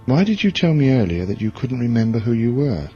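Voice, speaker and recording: An actor playing a hard, bitter military commander in a science fiction audio drama, with a curt, commanding way of talking. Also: male voice, medium pitch, sing-song, brisk, dark tone. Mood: probing, cold. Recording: dull lo-fi audio drama recording, music behind.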